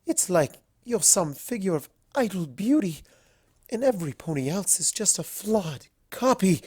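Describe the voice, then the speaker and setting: A man plays a refined lady unicorn from a storybook, pitched well up from a male register. The delivery is genteel and dramatic, gushing in temper, in a dry, close sound. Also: sing-song, unhurried, darkish tone, slightly rough, dry recording, heavily acted. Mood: gushing admiration.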